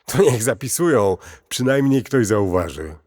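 Horror audio play role: scornful, fatalistic avenger acted by a man, raspy, animated pitch, cavernous sound. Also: a little slow. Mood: bitter, defiant. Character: desperate, bitter, scornful man bent on revenge. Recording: cavernous long reverb.

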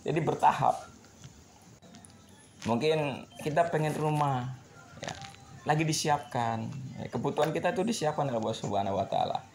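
Chatty bike-shop guy, gravelly voice, rambles to the camera while he works. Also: on the high side, normal speed, long pauses, noisy background. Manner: rambling, chatty, laughs often, talks to viewers. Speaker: bike mechanic vlogger. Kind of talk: casual chat while repairing a bike. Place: bicycle repair shop, indoors.

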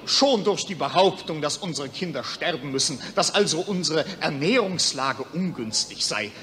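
A man's voice: on the high side, animated, normal speed, fairly bright tone. A man acting a pompous, jingoistic psychiatrist presiding over colleagues in a declamatory 1940s radio play style. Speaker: actor. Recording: 1940s radio recording, long hall reverb.

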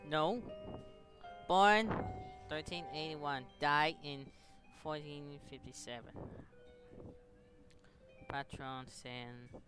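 Slow, halting male gamer. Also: fairly high pitch, steady tone, music in the background. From Philippines. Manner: halting, broken phrasing. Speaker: gaming video host. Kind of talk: casual let's-play commentary, reading on-screen text.

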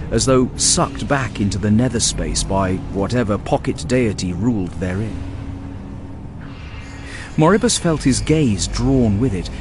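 A man narrating a fantasy audiobook in a measured, grave, dramatic storytelling style. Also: mid-range voice, background noise. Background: music.

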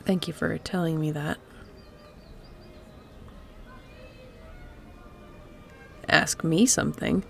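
A woman playing a guarded, wry spaceship captain in an intimate sci-fi audio roleplay, with a gravelly voice and dry, teasing, conversational delivery. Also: deep voice, steady tone, moderate pace, fairly bright tone, faint music behind. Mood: soft, probing. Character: guarded, wry female spaceship pilot captain, softening toward an old friend.

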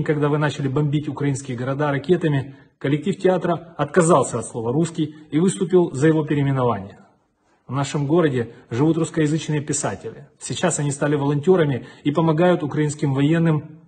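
A man's voice: a little high, darkish tone, slightly rough. A middle-aged man, a city mayor, giving a stern and defiant video address from an indoor office with flags behind him, close to a webcam. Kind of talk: video address to enemy soldiers.

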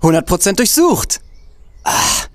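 A man's voice: sits high, sing-song, measured pace, no room sound. A man playing a laid-back young hacker. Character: calm young male hacker. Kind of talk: comic radio play.

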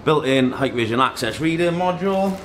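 A man's voice: mid-range voice, animated, fairly quick, fairly bright tone. Man presenting an unboxing in an echoey room. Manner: talks while handling boxes and products, in short phrases. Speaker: host of a tech unboxing video. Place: indoor office meeting room. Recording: echoey room, background noise and handling clatter.

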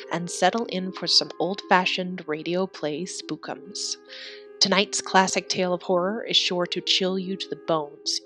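A woman's voice: on the low side, fairly bright tone. A woman announcer reading a scripted, theatrical old-time radio show introduction in a formal manner. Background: faint music.

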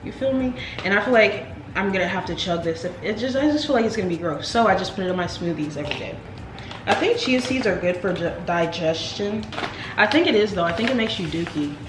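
A young woman chats straight to her viewers in a casual, playful way. Her voice bounces off the walls of a kitchen. Household noise runs clearly underneath. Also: medium pitch, expressive, fast, roomy sound. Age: young adult. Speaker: vlogger. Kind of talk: casual vlog chat to camera. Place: home kitchen, indoors. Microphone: camera on the counter.

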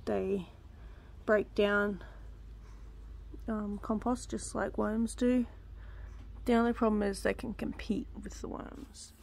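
Slow, gravelly young woman vlogging outdoors. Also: mid-range voice. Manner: relaxed, rambling, many ums, drops to a whisper up close. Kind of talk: casual gardening vlog. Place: backyard outdoors. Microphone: phone held close.

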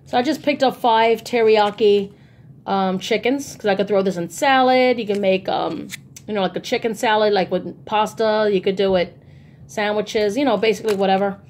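Casting: female haul vlogger, mid-pitched voice, informal, rambling, chatty delivery. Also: normal speed, a little mellow, a little hiss or hum. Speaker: vlogger showing shopping hauls. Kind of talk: casual haul vlog chat.

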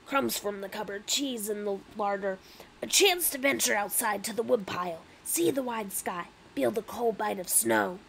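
A woman's voice: medium pitch, moderate pace, vocal fry, a little hiss or hum, lightly acted, low-quality recording. A woman voicing a proud, grim rat king in an intense, aggressive, declamatory storybook performance. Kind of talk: dramatic storybook reading.

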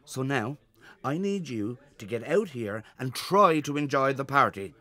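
A man's voice: medium pitch, lively intonation, unhurried, pushed and bright. A man playing a stressed, earnest middle-aged father in a children's audio drama. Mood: stern, exasperated. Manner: exasperated, earnest.

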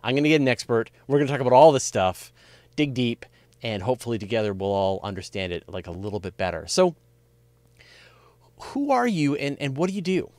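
A middle-aged man hosts a conversational interview, his pitch moving up and down in an animated way. He sounds informal and curious, and is picked up closely on a dry microphone. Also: fairly quick, no echo. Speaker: interview host. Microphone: close desk microphone, video call.

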